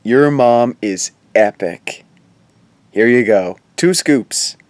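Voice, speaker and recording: A man's voice: mid-range voice, takes it slowly, darkish tone, dry recording, close to an everyday voice. An actor playing a wry, skeptical teenage boy who talks in casual, sardonic slang in an audio drama. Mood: casual, curious. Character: wry, pop-culture-quoting skeptical teenage boy sidekick. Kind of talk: conversational audio drama acting.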